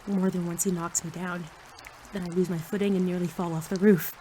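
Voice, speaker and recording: A woman's voice: fairly low pitch, brisk, a little hiss or hum, natural voice. A woman playing a cocky, earnest teenage rookie superhero who acts and narrates in an audio drama. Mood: earnest narrating. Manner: excitable, sarcastic, earnest in narration. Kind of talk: audio drama acting and first-person narration.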